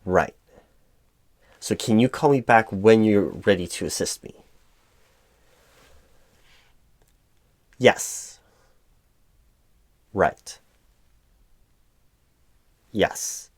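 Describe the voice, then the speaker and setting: A man's voice: lowish voice, extremely slow, pauses often, no room sound. A man playing a flustered, apologetic corporate hiring manager in a one-sided roleplay, stammering and repeating his words as he goes. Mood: confused, frustrated. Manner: frustrated, hesitant. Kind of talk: improvised audio roleplay, one side of a conversation.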